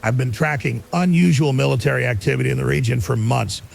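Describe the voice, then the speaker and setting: A man playing an urgent, paranoid conspiracy broadcaster in a radio drama, gravelly and accusatory. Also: medium pitch, quick pace, faint music behind. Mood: urgent, suspicious. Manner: emphatic, accusatory.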